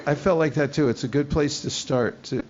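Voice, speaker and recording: Older man asking quick curious questions. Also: fairly high pitch. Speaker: retreat leader interviewing youth. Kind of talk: casual conversational interview. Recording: dull lo-fi recording with faint noise.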